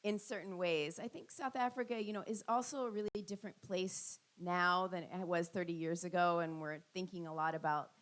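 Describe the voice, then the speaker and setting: A woman's voice: lowish voice, fairly even intonation, brisk, few pauses. A middle-aged woman academic answering audience questions on a panel, thoughtful and full of ums and restarts.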